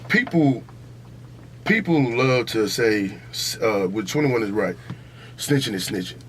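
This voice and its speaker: A man's voice: mid-range voice, animated, unhurried. An American man with a mid-range voice hosts a casual, opinionated podcast. He speaks emphatically, repeating phrases and leaning on slang and profanity. There is some noise behind him. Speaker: podcast host.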